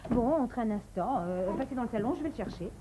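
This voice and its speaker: An actress voicing a kind but harried, flustered mother in a French animated series, polite yet exasperated. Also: a little high, fast talker, slight background noise, lightly acted, low-quality recording. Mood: apologetic, hurried.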